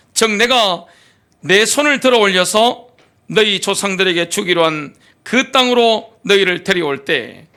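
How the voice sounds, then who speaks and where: A man delivers a sermon from the pulpit, his pitch sitting high and swinging widely from line to line. He reads scripture in slow, weighty phrases, then turns to the congregation with rhetorical questions. His tone is bright and forceful. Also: creaky. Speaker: pastor.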